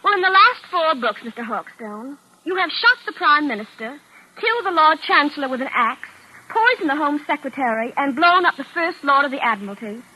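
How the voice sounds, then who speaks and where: An actress playing a pert, stubbornly literal young Canadian secretary in a 1940s radio drama, matter-of-fact and argumentative. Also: female voice, sits high, sing-song, brisk, pushed and bright, full character voice, low-quality recording. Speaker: actor. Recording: vintage 1940s radio recording.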